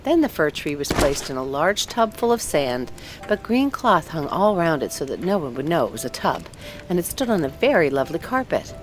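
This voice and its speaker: A woman narrating a children's story aloud in an expressive read-along style. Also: low-pitched, moderate pace.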